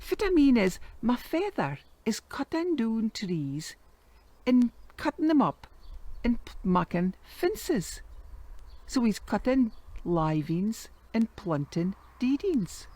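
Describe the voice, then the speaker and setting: A woman voicing a clever, cheeky farm boy with a Doric Scottish accent and a high voice. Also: sing-song, takes it slowly, mellow, no echo, full character voice. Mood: sly.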